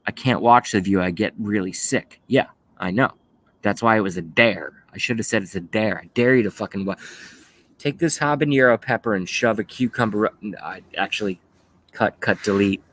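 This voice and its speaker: A man of thirty-five hosting a livestream, talking casually and haltingly as he rants and reads out chat messages, with some swearing. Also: lowish voice, fairly bright tone, slight background noise. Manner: rambling, halting, often swearing. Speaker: livestream host. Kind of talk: casual political rant while reading live chat.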